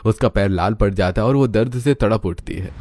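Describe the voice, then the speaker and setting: A man's voice: on the low side, fairly quick, darkish tone. A man narrating a scripted family drama story in a dramatic storytelling style.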